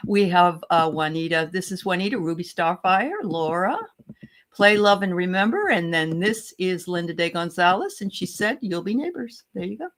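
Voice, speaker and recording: A woman's voice: on the low side, animated, normal speed. A woman with a Boston accent hosting a live stream chat, greeting viewers by name. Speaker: host.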